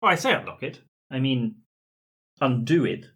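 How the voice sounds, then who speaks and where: A man talks through his moves in a tabletop roleplaying game. His mid-pitched voice is bright and crisp-edged, with a casual, playfully precise explaining manner. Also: big pitch swings, normal speed. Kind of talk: casual improvised roleplay chat.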